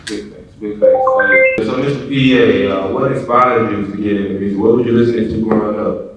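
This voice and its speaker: A man's voice: on the low side, fairly even intonation, a little fast, soft background music. An American man hosting a casual interview in an informal, slangy way. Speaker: interview show host. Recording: echoey room, distant mic, faint noise.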